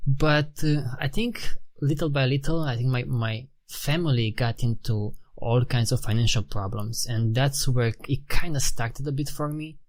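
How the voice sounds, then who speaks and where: A man's voice: mid-range voice, normal speed, few pauses. A man in his thirties from Romania with a Romanian accent, a guest in a casual interview who talks thoughtfully, often restarting phrases and saying "you know" and "like". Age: 30s.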